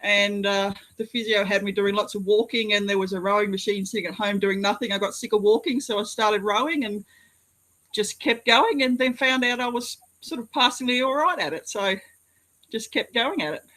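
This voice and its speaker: An Australian woman, a rowing athlete, answering interview questions in a relaxed, modest way with some hesitation. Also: medium pitch, normal speed, rough recording.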